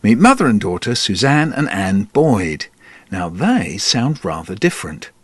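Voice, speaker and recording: A man's voice: fairly low pitch, very animated, a little slow, slightly rough, no echo. A man hosting a radio discussion, asking probing, provocative questions. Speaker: radio host. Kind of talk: radio interview.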